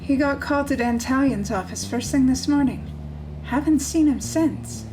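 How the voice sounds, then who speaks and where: A woman's voice: high voice, moderate pace, noisy background, close to an everyday voice. An actress playing a concerned, friendly female co-worker in an audio drama.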